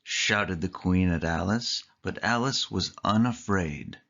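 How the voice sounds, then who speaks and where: A storyteller reading a scripted children's story aloud in measured phrases with deliberate pauses. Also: deep voice, fairly bright tone, highs cut off. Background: clear background music.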